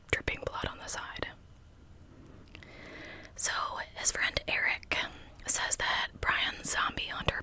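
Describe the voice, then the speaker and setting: A woman's voice: bright, forceful tone, low-quality recording. A woman telling a story in a whispered ASMR style, informal and conversational with casual asides. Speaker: true crime ASMR host.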